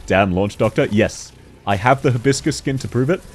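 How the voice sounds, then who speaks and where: A young man with a deep voice streaming a game, chatting casually with viewers, reading their messages aloud and laughing often, a little stuffy from hay fever. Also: moderate pace, slight background noise. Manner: giggly, reads chat aloud and answers it, frequent laughs. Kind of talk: casual game livestream chat.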